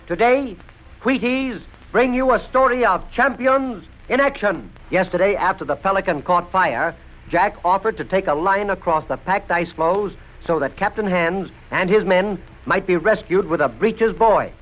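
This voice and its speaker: A man's voice: sits high, moderate pace, fairly bright tone, low-quality recording. A man announcing a 1930s radio adventure in a punchy, dramatic advertising style.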